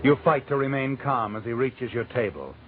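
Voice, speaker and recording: An actor playing an ominous, all-knowing mystery narrator with an American accent, sinister and insinuating in 1940s radio style. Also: male voice, medium pitch, expressive, moderate pace, a little hiss or hum, natural voice. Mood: taunting, tense. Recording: vintage radio recording with narrow band.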